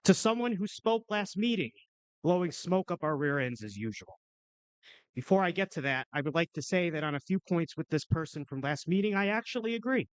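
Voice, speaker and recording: High-pitched, clear-voiced man making combative public remarks. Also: no echo, low-quality recording. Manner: combative, emphatic, rhetorical. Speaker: public commenter at a school board meeting. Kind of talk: prepared public remarks, argumentative speech. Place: public meeting, livestreamed.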